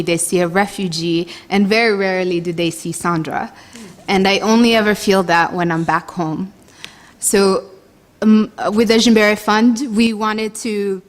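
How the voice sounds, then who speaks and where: Earnest young woman with a Congolese accent. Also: mid-range voice. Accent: Congolese, shifting toward American. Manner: earnest, many restarts and fillers. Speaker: nonprofit co-founder speaking at a briefing. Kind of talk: personal speech to an audience.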